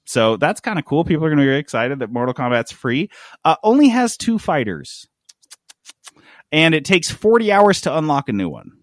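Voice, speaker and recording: Male podcast host, lively swooping intonation, sarcastic comedic riffing, dry sound. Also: fairly high pitch, normal speed, no echo. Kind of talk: casual comedic gaming chat.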